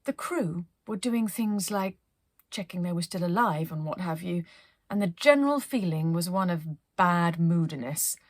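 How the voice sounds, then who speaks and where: A woman narrating a children's story as a storyteller, in an expressive read-aloud style. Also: lowish voice, measured pace, dry recording, clean studio sound.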